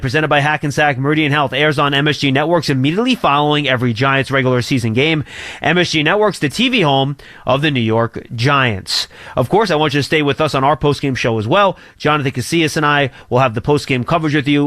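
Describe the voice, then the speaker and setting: A male sports radio host with a fairly high-pitched voice. He runs a football pregame show, reading out segments and putting questions to guests. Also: fairly bright tone, dry recording, clean studio sound.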